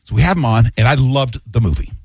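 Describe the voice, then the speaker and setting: A middle-aged man co-hosting a radio show, telling stories in an animated, self-mocking way. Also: medium pitch, quick pace, husky, dry recording. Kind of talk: casual on-air banter. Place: radio studio.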